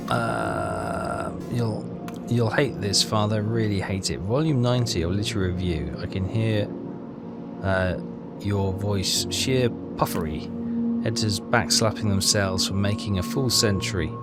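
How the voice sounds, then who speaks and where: A man with a fairly low voice talks through a game over loud music. He is casual and hesitant, thinking aloud and reading bits of text as he plays. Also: lively intonation, unhurried, gravelly. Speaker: game walkthrough player.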